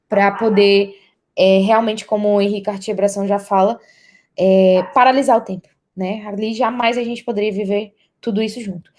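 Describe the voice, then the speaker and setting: Young Brazilian-accented woman, mid-pitched, student presenter delivering an academic project talk. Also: darkish tone. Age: young adult.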